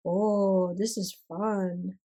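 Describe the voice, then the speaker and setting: A woman casually chatting through an ink swatching session at her desk, relaxed and self-deprecating, her voice a little hoarse from a cold. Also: medium pitch. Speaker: stationery vlogger.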